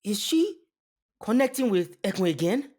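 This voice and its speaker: A woman voicing a cautious, clever young boy in an animated adventure, sounding hesitant and worried. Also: mid-range voice, normal speed. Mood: alert. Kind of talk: animated series table read.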